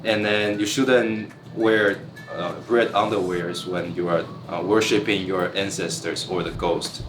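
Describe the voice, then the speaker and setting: Young man from Taiwan, low voice, earnest and halting; roomy recording, faint guitar. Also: fairly even intonation. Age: 20s. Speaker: university student interviewee. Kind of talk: casual interview answers. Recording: roomy echo with guitar music.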